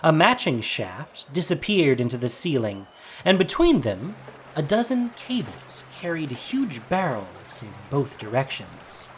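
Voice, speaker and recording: A man's voice: on the high side, wildly swooping pitch, unhurried, a little hiss or hum. A man narrating a scripted story as a dramatic storyteller.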